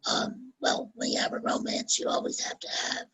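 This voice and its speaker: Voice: moderate pace, bright, forceful tone. A host and author loosely introducing the next reader in a whispery, hesitant way. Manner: whispery, hesitant, many fillers. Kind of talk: casual introduction.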